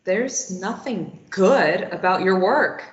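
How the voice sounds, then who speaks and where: Woman reading a mouthy young woman tied up, snaps back fast, echoey room, kinda muffled. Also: mid-range voice, animated. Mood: defiant. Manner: quick retorts, tense and pleading when threatened. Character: defiant young woman held captive, sharp-tongued. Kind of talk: table read of a play.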